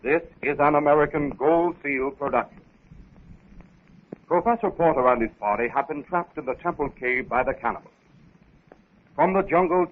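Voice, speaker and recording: A man announcing and narrating a scripted 1930s radio adventure serial. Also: a little high. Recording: tinny, noisy 1930s radio recording.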